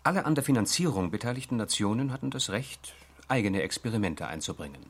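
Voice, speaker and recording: A man's voice: lowish voice, expressive, fast talker, breathy edge. An actor playing an earnest, eager male investigator in a radio drama, explaining and insisting. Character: earnest, eager investigator reporting his findings.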